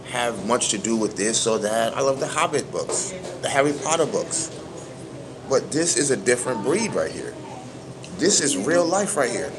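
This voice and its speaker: A middle-aged man from New York with a Brooklyn accent, hosting a livestream and talking in an emphatic, preachy way full of street slang. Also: medium pitch. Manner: emphatic, preachy, keeps asking "you understand me?"; street slang, animated storytelling. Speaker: livestream host. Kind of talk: casual livestream monologue.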